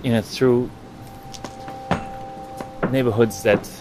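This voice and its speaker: A man with a Jamaican accent, a writer, recounting his own experiences in a measured, thoughtful way with pauses mid-sentence. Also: mid-range voice, fairly even intonation, heavy background noise. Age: adult. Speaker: writer and guest. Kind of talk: reflective storytelling.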